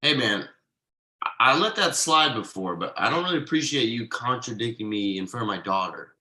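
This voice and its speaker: An evasive, defensive husband and father, acted by a man at a table read. His delivery is conversational and halting. The voice is forward and bright, with very lively pitch movement. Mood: defensive, exasperated. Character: defensive, evasive middle-class husband and father writing ad copy. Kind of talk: table read of a TV pilot script.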